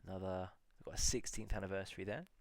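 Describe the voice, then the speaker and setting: A man in a casual solo video, musing aloud as he reads out old titles slowly, with many pauses and fillers. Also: deep voice, fairly even intonation, no echo. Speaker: video blogger.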